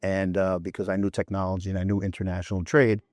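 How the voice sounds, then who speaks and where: Deep-voiced man telling work stories. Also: level tone, fast talker, darkish tone, no echo, clean studio sound. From New York City. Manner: chatty anecdotes with frequent "you know" and "right?". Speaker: logistics executive, guest. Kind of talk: relaxed storytelling interview talk.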